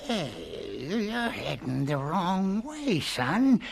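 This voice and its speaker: A man playing a folksy, kindly older country man with a gravelly, husky voice in a radio drama. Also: sits high, huge pitch swings, extremely slow, full character voice. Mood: jovial, folksy.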